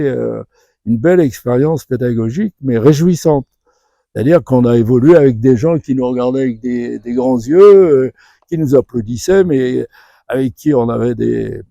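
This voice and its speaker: Older Frenchman, very gravelly, dark voice. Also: mid-range voice, no room sound, clean studio sound. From Vendée region, western France. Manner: chatty, digressive, good-humoured. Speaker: interviewee, retired dental professor. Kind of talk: spontaneous anecdotal interview.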